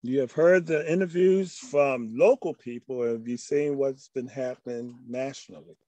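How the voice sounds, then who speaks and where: A man's voice: medium pitch, moderate pace, mellow, dry recording. An older man giving a slide presentation to a discussion group over a video call. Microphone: video call microphone.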